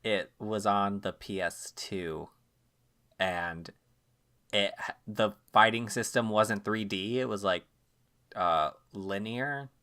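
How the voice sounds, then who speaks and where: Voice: sits low, takes it slowly, fairly bright tone. A podcast co-host chatting informally about games and anime, sounding amused at their own remarks.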